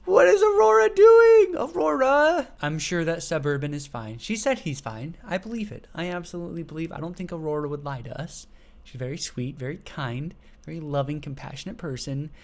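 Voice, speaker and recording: Host, male, slightly high voice, upbeat and gushing reaction chatter. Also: brisk, gravelly. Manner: excitable, laughs and shrieks often. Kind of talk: casual reaction commentary.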